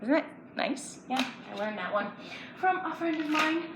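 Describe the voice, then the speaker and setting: A woman's voice: high-pitched, animated, moderate pace, a bit bright. A woman presenting a children's educational story time, playfully asking young viewers simple questions as she explains and reads aloud. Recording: roomy echo, background noise.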